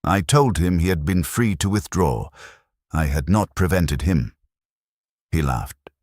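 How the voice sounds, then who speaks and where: A man narrating a scripted first-person war story in short, clipped sentences with a grave, measured delivery. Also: deep voice, lively intonation, darkish tone, dry recording, studio quality.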